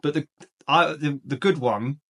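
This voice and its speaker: A man's voice: a little high, measured pace, a bit bright. A man co-hosting a casual film chat, opinionated and rambling, with lots of fillers and restarts. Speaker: podcast co-host.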